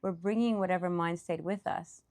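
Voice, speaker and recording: A woman leading a guided meditation as a teacher, speaking off the cuff in reflective, halting phrases with pauses. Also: fairly low pitch, fairly even intonation, darkish tone.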